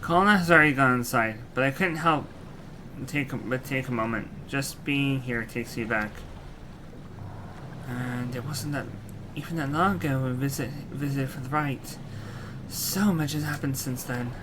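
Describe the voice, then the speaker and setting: Determined young warrior woman, read by a man, soft-spoken and reflective. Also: mid-range voice, normal speed, noisy background, natural voice. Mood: wistful.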